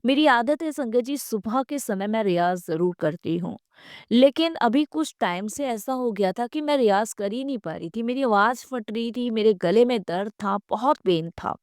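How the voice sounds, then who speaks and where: A woman's voice: a little high, lively intonation, moderate pace, darkish tone, no echo, clean studio sound. A woman reading scripted devotional narration in a reverent, earnest manner, often repeating phrases for emphasis.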